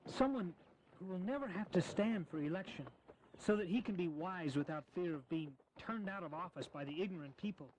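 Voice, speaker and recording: An actor playing an ambitious, persuasive young colonel, speaking earnestly and respectfully in a historical audio drama. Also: male voice, sits high, brisk, darkish tone, lightly acted, poor audio. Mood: fervent. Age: young adult. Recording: clear ambient noise behind.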